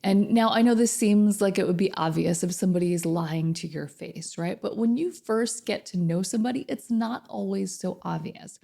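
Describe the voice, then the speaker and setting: A woman coach with a slightly hoarse voice from a cold, chatting directly to viewers about relationships and asking them questions. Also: medium pitch, mellow. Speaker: narcissistic abuse recovery coach. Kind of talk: casual direct-to-camera advice talk.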